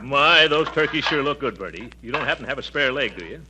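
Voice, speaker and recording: A man's voice: medium pitch, animated, quick pace, over loud music, close to an everyday voice, poor audio. An actor playing a pompous, blustering middle-aged uncle and former army major in a 1940s radio comedy, stammering and hedging as he goes. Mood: wheedling.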